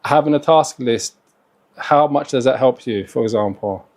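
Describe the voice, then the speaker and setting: A man's voice: medium pitch, normal speed, a little mellow. A man hosting a casual interview, asking questions in a friendly way and filling in with short encouraging replies. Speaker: host, interviewer.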